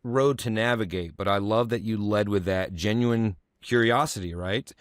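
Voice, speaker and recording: A man's voice: on the low side, moderate pace, clean tone, dry recording, clean studio sound. A man hosting an interview podcast, asking long, enthusiastic questions with plenty of fillers. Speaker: podcast host. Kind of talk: casual interview.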